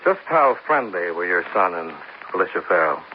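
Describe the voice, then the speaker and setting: A dry, clipped insurance investigator. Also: male voice, deep voice, big pitch swings, normal speed. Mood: probing. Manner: clipped, dry, wry questioning. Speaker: narrator and lead detective. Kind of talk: 1950s radio detective drama. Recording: dull old radio recording with faint hiss.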